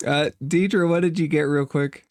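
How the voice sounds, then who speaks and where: A man acting as game master, narrating a tabletop game casually with measured, hesitant explanations. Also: on the high side, fairly even intonation, no echo, clean studio sound.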